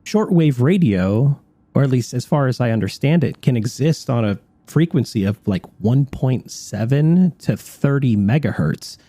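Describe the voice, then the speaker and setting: A man's voice: mid-range voice, animated, moderate pace, dark tone, no room sound. A man co-hosting a radio show, explaining things in a conversational talk-show style.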